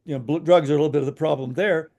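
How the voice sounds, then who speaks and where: A man's voice: fairly high pitch, rapid-fire, gravelly, dark tone. A man co-hosting a podcast, giving frank opinions in casual chat, hesitant and full of fillers. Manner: frank, hesitant, many "you know" fillers. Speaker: podcast co-host.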